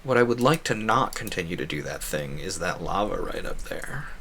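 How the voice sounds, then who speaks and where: A man streaming a video game talks casually and at length, drifting between tangents and opinions. His voice is bright and forward, with a lively, swooping pitch that keeps his chatty commentary animated. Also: normal speed, creaky, slight background noise.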